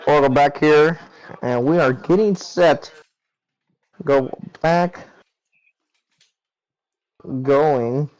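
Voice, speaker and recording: A man's voice: a little high, extremely slow, pauses often, a little mellow, poor audio. A man calling an online sim race as the play-by-play host, chatty and conversational. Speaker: play-by-play commentator. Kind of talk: sim racing broadcast commentary.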